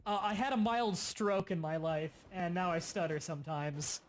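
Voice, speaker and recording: A young man hosting a casual gaming livestream, explaining game mechanics in quick bursts and sometimes stuttering. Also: sits high, a little hiss or hum. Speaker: livestream host. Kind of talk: casual gaming stream chat.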